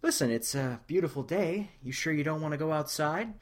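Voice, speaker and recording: A man's voice: a little high, animated, a little fast, voice pushed up. A man voicing a weary, caring older woman in a story reading, conversational and coaxing. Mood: coaxing, casual. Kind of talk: audio fan fiction reading.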